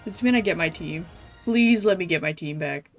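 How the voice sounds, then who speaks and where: A woman's voice: lowish voice, expressive, brisk. A woman casually commentating on a game as she streams it, making chatty, offhand asides to viewers. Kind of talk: casual live gameplay commentary. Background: game music.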